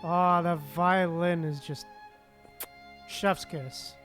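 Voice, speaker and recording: A man's voice: high voice, fairly even intonation, drawn-out words, fairly bright tone. A man chatting casually on a game live stream in a laid-back, slangy, giggly manner. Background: game music.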